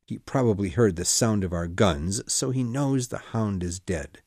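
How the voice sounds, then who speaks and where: A man playing a calm stern detective. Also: fairly low pitch, normal speed, no room sound, studio quality. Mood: firm. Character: calm authoritative male detective.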